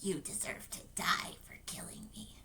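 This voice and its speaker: A woman's voice: on the high side, sing-song, takes it slowly, bright, forceful tone, far from the mic, full character voice. An eerie dead little boy, acted by a woman in an audiobook horror story. The voice is accusing and taunting, with a monster underneath. It is recorded a little way from the mic. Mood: sinister, luring. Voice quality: wavering.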